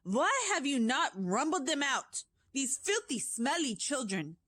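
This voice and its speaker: A tyrannical witch queen, played by a woman reading the story, shrieks her commands in a raised, piercing voice. She drags out her words slowly for menace, and her pitch swings wildly. The sound is dry and close. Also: sing-song, dry recording, full character voice. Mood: shrieking rage. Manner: shrieking, loud, drawn-out words. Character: furious, tyrannical female witch leader, shrieking. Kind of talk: audiobook character voice.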